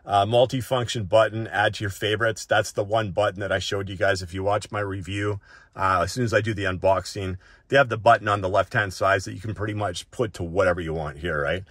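Man, low voice, casual tech reviewer, informal unscripted walk-through, close dry sound. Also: steady tone, fairly quick, fairly bright tone, no room sound. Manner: informal, often ends points with a checking "right?".

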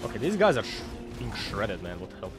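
Lively Croatian man streaming a game. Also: on the low side, brisk, music in the background. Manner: excitable, swears and laughs while fighting bosses. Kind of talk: live gameplay commentary reading chat. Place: home streaming room, indoors. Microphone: headset.

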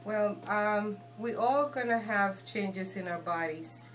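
A woman from Guatemala with a Guatemalan Spanish accent, giving an informal spiritual talk in an earnest, reassuring, halting manner. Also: mid-range voice, slight background noise. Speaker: shaman and healer, guest. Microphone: phone handed over.

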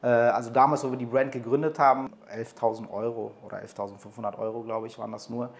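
A man in his thirties from Portugal, a guest talking in a relaxed way with plenty of hesitations. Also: mid-range voice, quick pace, vocal fry. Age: 30s. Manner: relaxed, hesitant with many fillers. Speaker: guest, entrepreneur. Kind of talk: interview conversation. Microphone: desk microphone on boom arm.

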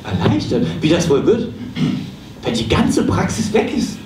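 A man playing a hapless, wistful psychotherapist in a live comedy audio play, halting and doubting himself. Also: a little high, wildly swooping pitch. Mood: wistful, unsure. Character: wistful, hapless male psychotherapist losing his practice. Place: small theatre stage, indoors. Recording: noisy, echoey, distant live stage recording.

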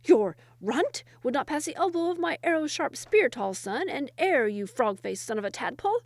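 A woman's voice: sits high, very animated, normal speed, no echo, voice pushed up. A woman voicing a proud, touchy male royal wazir in a storybook, indignant and easily enraged. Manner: indignant, ranting.

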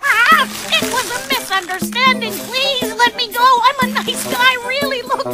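A performer voicing a timid, gushing parrot in a dubbed cartoon, whiny and excitable. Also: extremely high pitch, unhurried. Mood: frightened pleading. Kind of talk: cartoon dub acting. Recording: loud music under the voice.

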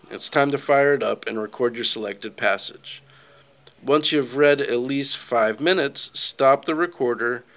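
A man with a medium-pitched voice narrates a software tutorial from a script. He gives instructions step by step in a clear, orderly way, as a voice-over for a screen recording.

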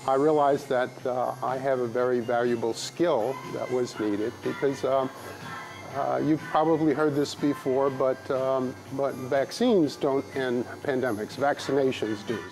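A man's voice: medium pitch, steady tone, a little slow, over music. An older man, a retired nurse, giving an interview in a large convention hall, speaking thoughtfully with hesitant fillers. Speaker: vaccination site nurse.

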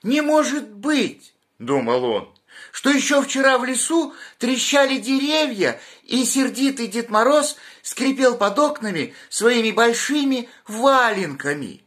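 A small, curious hedgehog boy from a storybook, high and eager, his bright voice leaping up with every question, as a man puts him on. He talks slowly, as if puzzling things out aloud. It sounds close and clear, taped in a small room at home. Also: sing-song, heavily acted. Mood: wondering.

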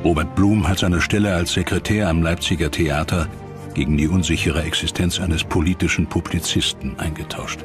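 A man narrating a documentary from a script in a measured, formal, dramatic way. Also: low-pitched, fairly even intonation. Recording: clear music bed behind voice.

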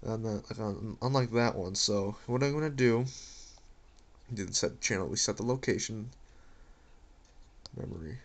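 A man's voice: fairly low pitch, moderate pace, pauses often. A man giving casual setup commentary, muttering to himself and reading screen prompts aloud. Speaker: amateur gadget reviewer. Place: small indoor room at home. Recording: faint background noise with device clicks.

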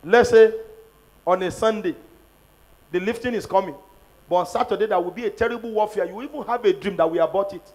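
Man, Nigerian accent, middle-aged, pastor, high-pitched, fervent, repeats declarations. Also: brisk, long pauses, poor audio. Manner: fervent, shouting declarations, repeating lines for the crowd. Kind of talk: prophetic prayer sermon. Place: large church auditorium, on a stage at a pulpit. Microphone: handheld microphone.

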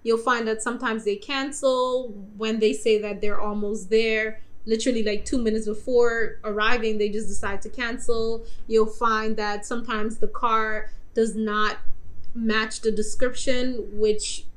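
A woman's voice: fairly high pitch, flat delivery, a little slow, poor audio. A young woman vlogging travel tips to camera from a bedroom, chatty and direct. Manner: chatty, direct, addresses viewers as guys. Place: indoor bedroom.

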